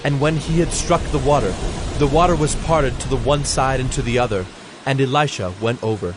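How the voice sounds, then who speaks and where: A man's voice: medium pitch, fairly quick, background noise. A man narrating scripture from a script in a solemn storytelling manner. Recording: background music under the voice.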